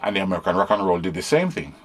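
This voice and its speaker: An older man from Jamaica, casually explaining music history and pausing to play examples on a keyboard. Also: sits low, very animated. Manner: teaching, stops to demonstrate on keyboard.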